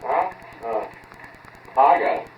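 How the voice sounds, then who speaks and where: A man's voice: medium pitch, very animated, slow pace. A male actor playing an impatient, bossy inventor in terse 1940s radio drama style. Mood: preoccupied. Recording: dull, noisy 1940s radio recording.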